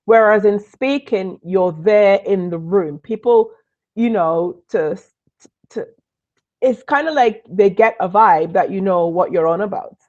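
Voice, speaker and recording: A woman from Trinidad with a Trinidadian accent mixed with British tones, giving a lively live online business training and repeating key points for emphasis. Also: mid-range voice, measured pace, lo-fi sound. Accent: Trinidadian, mixed with British and Northern Irish. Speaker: business coach.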